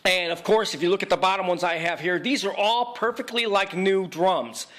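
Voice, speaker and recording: A man's voice: high voice, moderate pace, fairly bright tone. A man narrating an informal how-to as a repair technician, plain-spoken and emphatic, often repeating his points. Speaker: video equipment repair technician giving a tutorial. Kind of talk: informal instructional narration over pictures.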